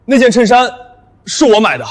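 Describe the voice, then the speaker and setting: A glib twenty-something office dude in a cartoon drama, super high voice, bouncing all over, explains way too much. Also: male voice, very animated, normal speed. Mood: glib, defensive. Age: 20s. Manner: glib, over-explaining, peppered with corporate buzzwords. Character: flustered, jargon-spouting young male office worker, eager to explain. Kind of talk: animated short-drama dialogue.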